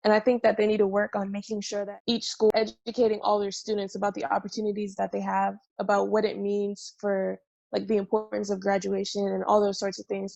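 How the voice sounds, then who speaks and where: A woman's voice: medium pitch, creaky, darkish tone, no echo, highs cut off. A nineteen-year-old woman, a university student, answering interview questions in a hesitant way with many fillers and restarts.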